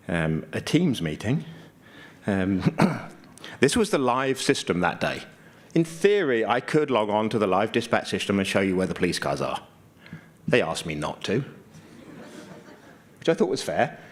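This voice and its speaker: A man giving an informal conference presentation, explaining things conversationally with dry, understated humor. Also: lowish voice. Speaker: conference speaker, GIS mapping consultant.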